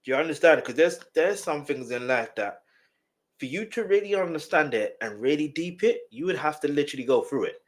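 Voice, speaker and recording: A man with a slightly nasal voice, giving casual reaction commentary and talking straight to the viewer, often repeating words in quick bursts. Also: on the high side, animated. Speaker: music reaction host.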